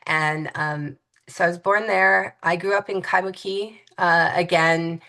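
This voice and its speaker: Low-voiced woman from Hawaii, hesitant. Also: fairly even intonation, unhurried, creaky, bright, forceful tone. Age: 50s. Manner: hesitant, many fillers and restarts. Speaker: interview guest. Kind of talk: reflective conversational interview.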